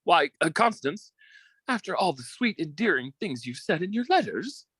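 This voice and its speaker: A theatrical con-man suitor played by a man, recorded close and dry. His pitch swoops widely as he schemes and bullies, and he is a coward underneath, prone to stammering when flustered, in old-time radio style. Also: sing-song, normal speed, fairly bright tone, husky, dry recording, exaggerated voice. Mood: flustered wheedling.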